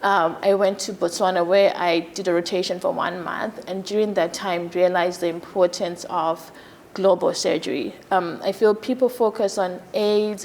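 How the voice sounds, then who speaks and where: A woman surgeon from Zimbabwe in her thirties, giving a TV interview in a soft, hesitant way with many fillers. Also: fairly low pitch, slight background noise. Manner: soft-spoken, many fillers, laughs easily. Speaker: gynecologic surgeon, guest.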